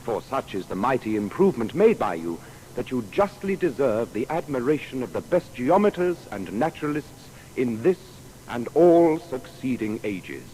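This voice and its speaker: A man's voice: normal speed, close to an everyday voice, low-quality recording. A man playing a learned seventeenth-century admirer, reading a formal letter of ornate, declamatory praise in an audio drama. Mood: solemn admiration. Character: admiring learned correspondent of Newton's age, effusive, formal. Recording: steady background noise, low rumble.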